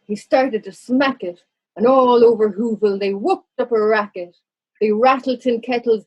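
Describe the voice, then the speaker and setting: Female children's story narrator, fairly high and husky, expressive, slightly muffled recording.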